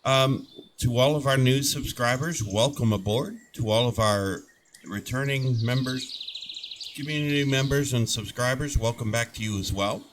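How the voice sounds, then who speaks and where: A man's voice: medium pitch, measured pace, a little hiss or hum. A man hosting a gaming channel, chatting casually over his gameplay, rambling and restarting his sentences as he gets over a head cold.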